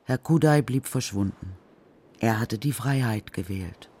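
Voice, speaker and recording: A man narrating a scripted children's radio drama as storyteller, with a husky voice. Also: medium pitch, unhurried, a little mellow.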